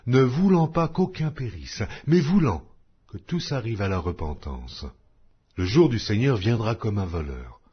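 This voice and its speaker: A man reading scripture aloud in a solemn, measured way. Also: on the low side, husky. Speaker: Bible reader. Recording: dull lo-fi audio.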